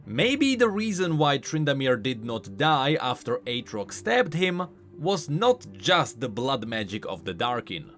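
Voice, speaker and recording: A man's voice: medium pitch, big pitch swings, a little slow, fairly bright tone. A man hosting an explanatory video game lore commentary, speaking in an emphatic way. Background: faint background music.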